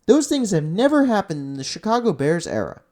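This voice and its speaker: Exasperated fan commentator for a sports rant; mid-pitched, very animated delivery, blunt, sweary and emphatic. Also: a little fast. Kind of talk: unscripted opinionated sports rant.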